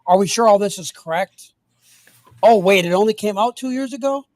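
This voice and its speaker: Talkative podcast guy, a bit high voiced, dry close mic, sarcastic asides. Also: a little fast, a little mellow, no room sound. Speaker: podcast co-host. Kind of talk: casual chat.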